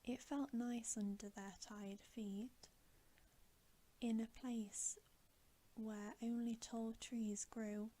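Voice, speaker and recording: A woman reading a bedtime story as ASMR in a soft, breathy whisper with a gentle, hushed delivery. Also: medium pitch, low-quality recording. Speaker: ASMR reader.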